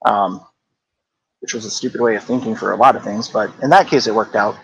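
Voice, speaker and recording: A man's voice: on the low side, fairly quick, vocal fry, a little hiss or hum, rough recording. A man in his thirties, a guest on a podcast, talking casually and thoughtfully with frequent hedges and fillers. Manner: reflective, hedging with "like" and "I don't know". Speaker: guest, game company co-founder. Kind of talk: casual interview chat.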